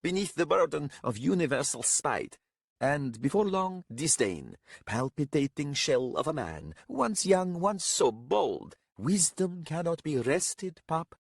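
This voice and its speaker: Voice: medium pitch, sing-song, measured pace, vocal fry, husky, no echo, studio quality, voice pushed up, exaggerated voice. A man voicing a pompous older warrior, a dramatic and mocking doomsayer with florid, wailing archaic speech in a story.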